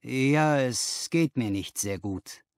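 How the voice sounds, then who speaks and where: A man voicing a haunted male company boss in an audiobook, strained and halting, forcing politeness and cheer. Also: medium pitch, expressive, clear, resonant tone, no echo, clean studio sound. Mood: shaken. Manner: halting, repetitive, forced cheer.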